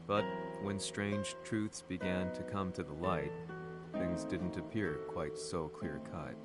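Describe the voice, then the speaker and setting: A sombre storyteller narrates a video game story. His voice is deep and low, with a grave, investigative weight. Loud music runs behind every sentence. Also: steady tone, slow pace. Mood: grave, ominous. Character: sombre male narrator recounting a dark corporate conspiracy.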